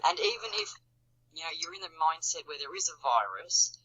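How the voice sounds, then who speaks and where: A man's voice: a little high, huge pitch swings, fairly quick, vocal fry, pushed and bright, slightly rough, dull audio. A man in casual group chat, talking earnestly and rambling, with many fillers and restarts. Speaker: chat participant.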